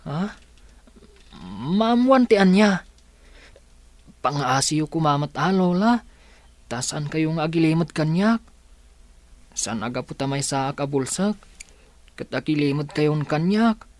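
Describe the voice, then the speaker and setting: A man's voice: fairly high pitch, lively intonation, a little slow, pauses often, no echo. A man playing an earnest young male student and devoted grandson in a radio drama, with a pleading manner. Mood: pleading, troubled. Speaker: voice actor.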